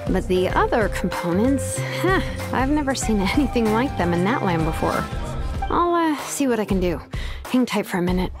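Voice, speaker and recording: A woman's voice: mid-range voice, big pitch swings, moderate pace, close to an everyday voice. A woman voicing an easygoing, curious young female tinkerer in a video game, musing aloud in a casual, breezy way. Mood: casual, intrigued. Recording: game music under the voice. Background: background music.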